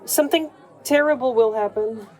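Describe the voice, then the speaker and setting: A woman's voice: fairly high pitch, moderate pace, a little mellow, a faint crowd, close to an everyday voice. A woman playing an anxious companion in an audio drama, warning urgently and pleading.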